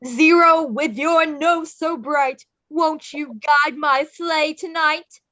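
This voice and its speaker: A woman's voice: high voice, slow pace, pushed and bright, no echo, exaggerated voice. A woman playing Jack, a theatrical skeleton man who fancies himself Santa, declaiming melodramatically at a script table read. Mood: excited, triumphant.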